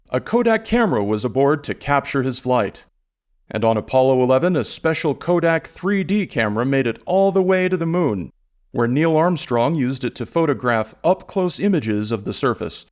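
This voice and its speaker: An actor playing a polished, proud sponsor announcer reading a 1960s radio commercial. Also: male voice, medium pitch, sing-song, normal speed, natural voice. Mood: proud, promotional. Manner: polished, promotional.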